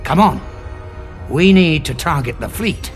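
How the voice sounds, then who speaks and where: An actor playing an eccentric, grave time-traveller, theatrical and deliberate, in a sci-fi audio drama. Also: male voice, on the high side, animated. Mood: urgent, instructing. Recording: music bed behind the dialogue.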